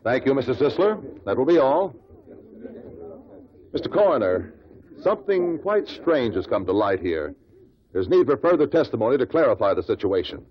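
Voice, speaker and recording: Man acting courteous investigator, American, husky, probing, animated pitch, formal questioning, old radio drama, dull lo-fi sound. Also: normal speed, pauses often, lightly acted. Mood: pointed, formal. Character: probing, courteous male amateur investigator at an inquest. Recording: dull lo-fi 1950s radio recording.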